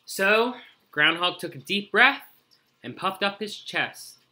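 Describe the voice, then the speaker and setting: A man narrating a children's storybook aloud in an expressive read-aloud style. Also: high-pitched, takes it slowly. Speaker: story reader.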